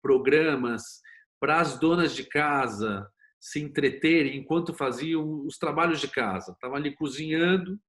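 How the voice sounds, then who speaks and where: A man's voice: a little high, measured pace, a bit bright. A Brazilian man talking as an interview guest, explaining things conversationally in a storytelling way with frequent tag questions. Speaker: interview guest, series producer and screenwriter.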